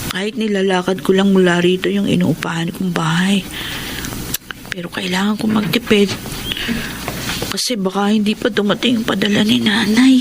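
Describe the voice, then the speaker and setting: An actress playing an anxious, proud young college student from the provinces in a radio drama, guarded and testy in manner. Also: female voice, medium pitch, fairly even intonation, moderate pace, pauses often, crowd noise, natural voice. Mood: worried. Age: young adult. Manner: guarded, testy with others, fretful alone. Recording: clear background noise and ambience.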